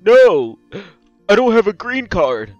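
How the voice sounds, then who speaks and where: A man's voice: fairly low pitch, steady tone, normal speed, no echo, voice pushed down, natural voice. A man voicing a homesick, dejected bear character who rambles and complains in an improvised comic skit. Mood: exasperated. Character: dejected homesick male leprechaun bear with a grudge. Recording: dull lo-fi audio with music behind.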